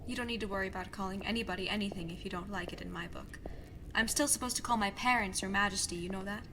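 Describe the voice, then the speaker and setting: A woman's voice: mid-range voice, fast talker, noisy background, close to an everyday voice. A woman playing an outspoken, wry young princess in an audio drama, talking in blunt, casual modern phrases. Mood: wry, soft.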